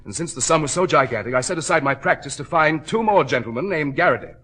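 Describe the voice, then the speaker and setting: Radio drama role: eager, glib American attorney, slightly high voice, highly animated delivery. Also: male voice, fast. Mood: eager, explaining. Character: glib, eager American lawyer with a tall tale. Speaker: supporting actor in a detective radio drama. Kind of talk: old-time radio drama acting.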